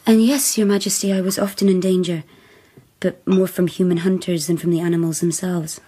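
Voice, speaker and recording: A young wild woman, raised by wolves, in a radio play. Her voice is mellow and husky. She is deferential but defiant, speaking plainly and haltingly. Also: lowish voice, flat delivery. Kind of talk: radio drama acting.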